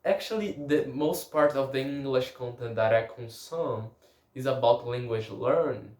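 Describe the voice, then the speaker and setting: A man's voice: mid-range voice, unhurried, darkish tone, echoey room. A man whose first language is Portuguese, speaking a casual language-learning diary and often restarting and searching for words.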